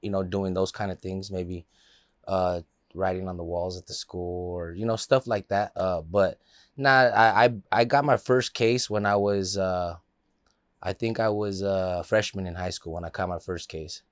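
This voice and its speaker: A man's voice: deep voice, steady tone, moderate pace, dry recording, clean studio sound. A man from the Los Angeles area, a guest in a casual interview, telling stories in a loose, laid-back way with plenty of fillers.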